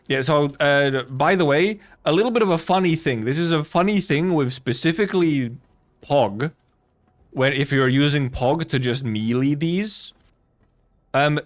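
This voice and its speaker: A man's voice: on the high side, a little slow. Rambling, casual male game streamer. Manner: rambling, loosely self-correcting, casual swearing. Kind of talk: casual live gameplay commentary.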